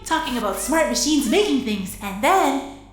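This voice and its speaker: An actress playing a plucky, giggly teenage girl inventor in an audio drama, halting with little "uhs" as she talks. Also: sits high, expressive. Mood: chatty, eager. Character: plucky teenage girl inventor turned walking war machine. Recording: roomy echo, music underneath.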